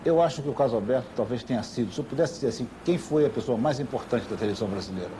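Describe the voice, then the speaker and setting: A man's voice: mid-range voice, animated, very fast, warm tone, a little hiss or hum, low-quality recording. A Brazilian man giving a reflective interview about television, speaking earnestly and repeating phrases for emphasis. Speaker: television professional giving testimony.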